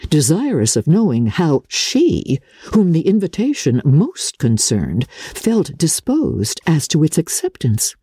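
A woman narrating classic fiction in a theatrical, measured and deliberate literary style, with a husky voice. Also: low-pitched, warm tone, dry recording, clean studio sound. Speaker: audiobook narrator.

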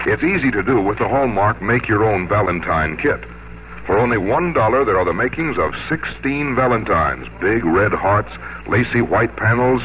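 A man's voice: on the low side, slight background noise. An American man working as a radio announcer, reading scripted sponsor copy in 1950s broadcast style. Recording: dull lo-fi 1950s radio, music behind. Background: music.

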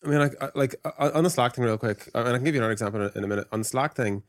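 A man's voice: mid-range voice, rapid-fire, no room sound, clean studio sound. A man speaking as a guest in a casual podcast discussion about tech. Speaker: podcast guest, product executive.

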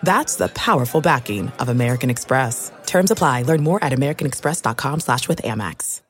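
Female ad announcer, notably low pitch, scripted narration over music. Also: lively intonation, brisk.

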